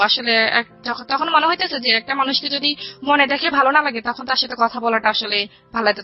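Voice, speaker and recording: A woman with a Bangladeshi accent tells a personal life story conversationally, recounting dialogue in detail. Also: high-pitched. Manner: recounts dialogue in detail, quoting both sides. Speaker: guest telling her life story. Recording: dull lo-fi radio audio with music. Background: background music.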